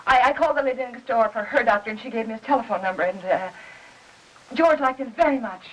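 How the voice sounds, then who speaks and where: An American actress playing a frightened, distraught housewife in a 1950s film noir, speaking in halting, pleading phrases. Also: female voice, fairly high pitch, natural voice, rough recording. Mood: nervous, evasive. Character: frightened, distraught housewife cornered by suspicion, guilt-stricken. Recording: old 1950s film soundtrack.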